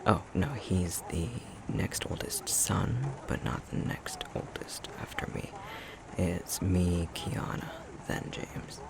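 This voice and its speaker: A man playing a tender, self-conscious young fox-shifter boyfriend in an intimate ASMR roleplay, speaking in a soft, breathy, halting murmur. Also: deep voice, lively intonation, background noise, heavily acted. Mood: wry, reminiscing. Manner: unhurried, halting, self-deprecating chuckly storytelling.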